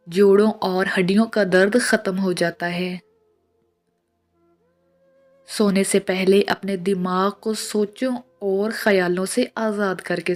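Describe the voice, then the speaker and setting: A woman reads scripted tips in a measured, instructional way, as a narrator of short pieces of advice. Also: fairly low pitch. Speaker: narrator of household and health tips. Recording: voice-over with music behind. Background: music.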